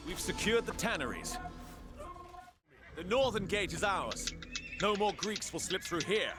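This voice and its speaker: An actor playing a commanding, vengeful Persian general in a video game, declaiming and barking urgent orders. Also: male voice, high-pitched, lively intonation, measured pace. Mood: urgent, resolute. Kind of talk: dramatic video game voice acting. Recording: dramatic music behind the voice.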